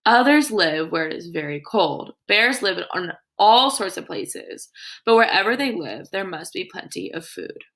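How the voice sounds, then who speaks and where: A woman teacher with a creaky voice reading aloud to young children in an instructive way, with her own comments and questions. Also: fairly low pitch, expressive, unhurried, a bit bright.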